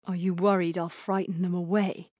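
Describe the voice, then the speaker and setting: A woman puts on a sardonic, ailing king from a fantasy audiobook. He drawls his words with bitter, dry sarcasm, a little lower than plain narration. The sound is close and dry. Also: little pitch movement, normal speed, a little mellow, no room sound, close to an everyday voice. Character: bedridden poisoned young king, sardonic and bitter.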